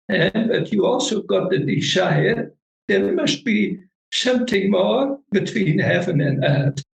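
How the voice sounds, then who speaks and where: A middle-aged Dutch man with a Dutch accent, a Vedic meditation teacher speaking halting, non-native English in a casual interview. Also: high-pitched, gravelly, reverberant, low-quality recording. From Netherlands.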